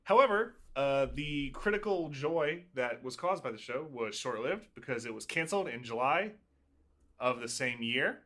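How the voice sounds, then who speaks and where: A man's voice: mid-range voice, expressive, moderate pace, a bit bright. A man in his late twenties co-hosting a podcast, chatting casually with dry, wry asides. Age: 20s. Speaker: podcast co-host. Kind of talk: casual chat.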